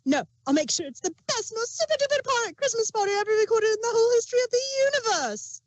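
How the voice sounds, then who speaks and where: A man voicing a bubbly, excitable female pony baker from a cartoon, gushing in breathless chatter. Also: very high voice, fast talker, no echo, pitched above the performer's own voice. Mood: dramatic excitement.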